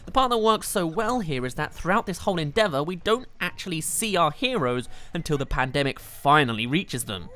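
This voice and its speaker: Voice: mid-range voice, fairly bright tone. An enthusiastic, chatty reviewer, narrating a scripted video game countdown. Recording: music behind the voice.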